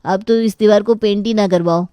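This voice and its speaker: Joking, cheeky painter for a storybook, female performer, teasing delivery, mellow timbre, dry close sound. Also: mid-range voice, steady tone, fairly quick, smooth, dry recording, lightly acted.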